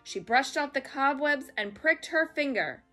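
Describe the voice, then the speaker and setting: High, bright woman reading a children's story. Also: unhurried, faint music behind. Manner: dramatic, expressive.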